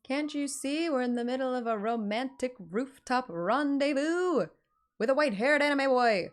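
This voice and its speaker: A woman live-streaming a game, playful and expressive, switching between casual reactions and reading dialogue aloud. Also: high voice, normal speed. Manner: playful, expressive, swears freely. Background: game music.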